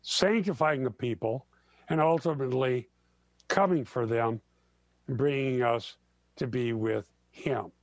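An older man, host and pastor, teaching the Bible in an earnest, emphatic way with frequent pauses. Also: medium pitch. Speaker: radio show host and pastor.

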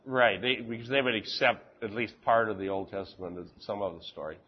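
A man teaching an informal Bible class, chatting with the group and reading scripture slowly and deliberately. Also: on the low side, muffled recording. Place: classroom with fans running.

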